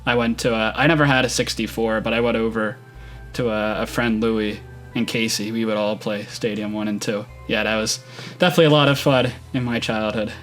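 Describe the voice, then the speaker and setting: A young man hosting a casual online interview, easygoing and quick to agree with his guest. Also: fairly low pitch, steady tone, a bit bright, soft background music. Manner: easygoing, affirming. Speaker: host, interviewer.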